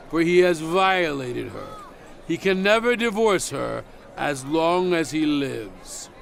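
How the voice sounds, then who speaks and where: A man playing a solemn, stern prophet proclaiming ancient law in a grave, deliberate, emphatic dramatized scripture reading. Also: high-pitched, creaky, heavily acted.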